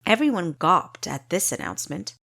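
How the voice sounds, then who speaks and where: A woman's voice: fairly low pitch, big pitch swings, normal speed, gravelly, bright, forceful tone, no echo, studio quality. A woman reading scripted fantasy fiction as an audiobook narrator.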